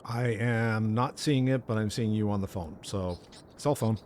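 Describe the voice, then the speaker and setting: A man's voice: lowish voice, a little slow, darkish tone. A man co-hosting a gaming show, chatting casually as he plays an online game. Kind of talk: casual chat while playing an online game.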